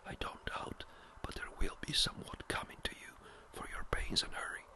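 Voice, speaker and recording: Brief: fussy elderly country parson, clipped and hurried, whispered by the narrator. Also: natural voice. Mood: brisk. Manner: brisk, a little impatient. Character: fretful elderly village rector, a man.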